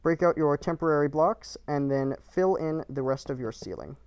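A man's voice: on the high side, moderate pace, smooth, lo-fi sound. A man narrating a game build tutorial in short, clipped, matter-of-fact instructions, recorded as a voice-over.

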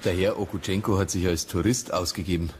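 An actor playing a dry, persistent police detective with a Bavarian accent and a colloquial, matter-of-fact manner. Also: male voice, low voice, fairly even intonation, fast, a little mellow, slight background noise, lightly acted. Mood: probing.

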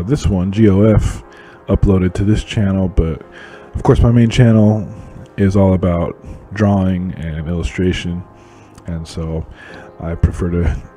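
A man's voice: low voice, dark tone. A man giving casual, conversational voice-over commentary as an illustrator hosting a drawing channel. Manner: informal, conversational. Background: music.

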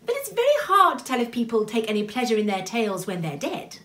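Lady doing a practical kindly storybook woman, calm, raised pitch, echoey room. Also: fairly quick, distant mic. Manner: plain-spoken, kindly.

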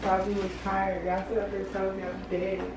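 A young woman from the Chicago suburbs, a guest on a casual vlog, talks softly and shyly with plenty of hesitant fillers inside a small apartment. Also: mid-range voice, completely flat delivery, fairly quick, crowd noise, rough recording. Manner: soft-spoken, shy, hesitant with fillers. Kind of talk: casual chat. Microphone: host's handheld camera.

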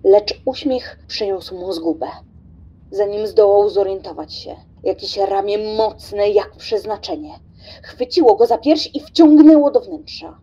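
A woman's voice: mid-range voice, animated, unhurried, warm tone, slightly rough, muffled recording. A woman giving dramatic scripted narration as the narrator of a radio play.

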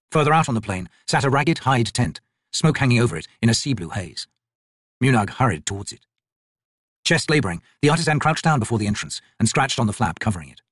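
Husky, expressive male audiobook narrator. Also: mid-range voice, no echo, clean studio sound. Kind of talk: scripted narration of a fantasy novel.

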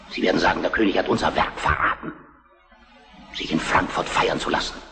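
A man's voice: deep voice, vocal fry, fairly bright tone, full character voice. Stern, emphatic Prussian statesman. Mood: grave, urgent. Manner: emphatic, declamatory. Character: stern, defiant Prussian minister-president, fiercely loyal to his king. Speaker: actor. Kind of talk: historical radio play acting.

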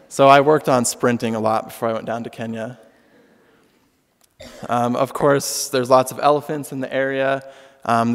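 A young man giving a keynote talk with slides at a podium in a large auditorium, relaxed and earnest with frequent ums. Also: medium pitch. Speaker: keynote speaker. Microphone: podium microphone. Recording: long hall reverb.

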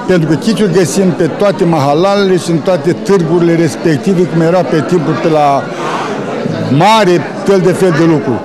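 A man's voice: on the high side, low-quality recording. An older Romanian man giving interview commentary in a hesitant, repetitive way into a handheld microphone. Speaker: interviewee at an art exhibition. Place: indoor gallery. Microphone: handheld reporter microphone. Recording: busy crowd chatter behind.

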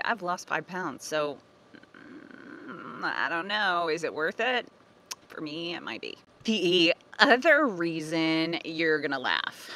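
A woman in her forties chatting casually to a phone held at arm's length in a sunny park, animated and laughing at herself. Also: deep voice. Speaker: family budget vlogger. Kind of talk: casual vlog talk to camera.

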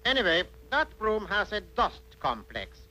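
A man's voice: high voice, wildly swooping pitch, a little slow, pushed and bright, heavily acted, rough recording. A man voicing an eccentric cartoon doctor, patronising and bossy as he pronounces his diagnoses. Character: brisk, eccentric know-it-all male doctor. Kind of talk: cartoon voice acting.

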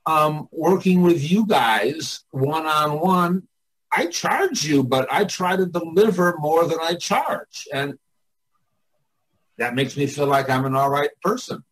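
An older man, a coach and mentor, talking casually and reflectively in a candid way with pauses. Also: fairly high pitch, expressive, a bit bright, slightly rough, rough recording.

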